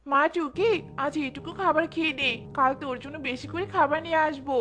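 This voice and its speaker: A woman voicing a kindly, generous mother bird in a children's fairy tale cartoon, speaking in a gentle, coaxing way. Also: high-pitched, brisk, over music, natural voice.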